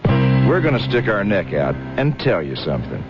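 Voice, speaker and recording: A man's voice: fairly low pitch, very animated, normal speed, creaky. A man reading a vintage radio commercial as a folksy, confident announcer. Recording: dull vintage radio ad, loud music. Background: guitar music.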